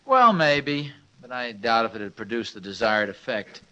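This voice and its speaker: Old radio sitcom father: proud bumbling handyman, hesitant, self-justifying, sharp bright voice, dull vintage sound. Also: medium pitch, very animated, moderate pace. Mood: self-satisfied. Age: middle-aged. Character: proud, defensive middle-aged father, bumbling home handyman. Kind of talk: 1950s radio family comedy acting. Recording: muffled vintage radio recording.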